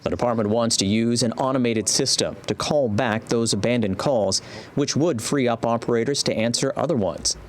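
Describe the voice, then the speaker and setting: A man's voice: mid-range voice, normal speed. A man with an American accent reading scripted narration for a TV news report.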